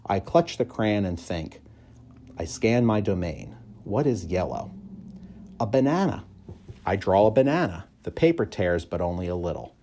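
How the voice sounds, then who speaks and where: A man reading a children's story aloud in expressive, measured, clearly phrased narration. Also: fairly low pitch, a little hiss or hum. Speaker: audiobook reader, school staff member.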